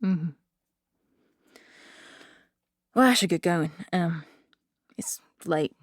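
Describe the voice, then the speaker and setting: A woman's voice: lowish voice, expressive, measured pace, no echo, clean studio sound. A woman playing a defiant young saloon star in an audio drama, cool and wry. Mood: uneasy.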